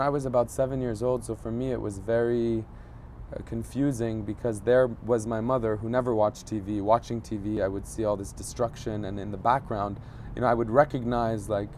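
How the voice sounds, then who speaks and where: A man in his thirties recounts a childhood memory with a fairly low voice. He speaks reflectively, pausing and reaching for words with small fillers. Faint street noise and passing vehicles sit behind him. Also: a little mellow. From Egypt. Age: 30s. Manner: thoughtful, searching for words, frequent fillers. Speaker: journalist guest. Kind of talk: reflective interview storytelling.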